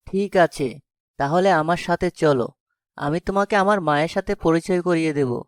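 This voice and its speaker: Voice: mid-range voice, normal speed, no room sound, clean studio sound, lightly acted. An actor voicing a kind-hearted young village cowherd lad in a fairy-tale cartoon. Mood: concerned, kind.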